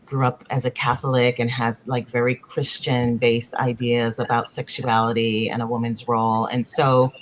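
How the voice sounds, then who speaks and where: A woman's voice: rumbling low, flat delivery, moderate pace, hardly any pauses, slight background noise. Thoughtful woman playwright on a video panel. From born in Africa, raised in the United States. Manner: thoughtful, searching, circles back to rephrase. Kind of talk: panel discussion over video call. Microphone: video call.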